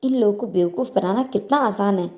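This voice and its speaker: A woman's voice: mid-range voice, brisk, warm tone, natural voice. A woman voicing a sly, greedy young daughter-in-law in a moral storybook tale, crafty in manner. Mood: gleefully scheming. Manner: crafty, feigning innocence. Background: faint music.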